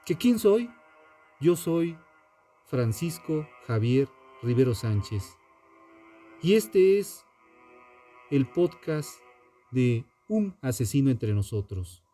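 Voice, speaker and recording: A man, a podcast host delivering scripted true-crime narration in a dramatic, deliberate way with pauses. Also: medium pitch, very animated, dark tone, faint music behind.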